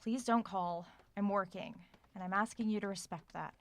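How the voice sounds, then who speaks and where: An actress playing a brittle, defensive young woman novelist in a horror audio drama, with clipped, strained delivery and a creaky, gravelly voice. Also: medium pitch, moderate pace, natural voice. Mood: irritated, defensive. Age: young adult. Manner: clipped, strained replies to her mother.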